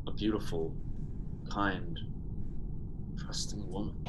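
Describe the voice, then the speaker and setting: A gruff, conflicted spaceship pilot in a radio play. The voice sits low and broods like a hard-boiled narrator, with a soft heart showing through. A clear rumbling ship ambience runs behind it. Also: male voice, steady tone, slow pace, background noise. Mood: brooding narration. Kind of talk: radio play acting with first-person narration.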